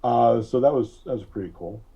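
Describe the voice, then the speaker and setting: A man speaking as a podcast guest, recalling things casually in a halting, deliberate way with frequent long hesitations. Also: medium pitch, creaky, warm tone, breathy edge, highs cut off. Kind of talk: casual interview recollection.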